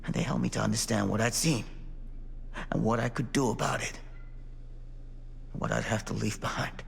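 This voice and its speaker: A man's voice: on the low side, moderate pace, gravelly. A man playing a weary, driven former sheriff in a video game, speaking in a grave, confessional way. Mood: haunted, grave. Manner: brooding, solemn, confessional. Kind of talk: video game cutscene acting. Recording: reverberant hall, light background noise.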